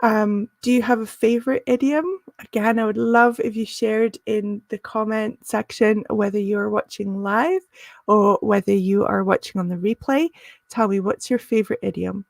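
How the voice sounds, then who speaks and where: A woman's voice: medium pitch, fairly even intonation, normal speed, vocal fry, dry recording, clean studio sound. A woman teaching a live business English lesson, friendly and encouraging, with frequent "um" and "uh" fillers.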